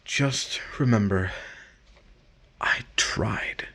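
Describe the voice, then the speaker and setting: Slow, halting, despondent husband acted by a man. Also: lowish voice, whispered. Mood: bitter, resigned. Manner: halting, hesitant, trailing off. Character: despondent man walking out on his marriage. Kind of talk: 1950s radio drama acting.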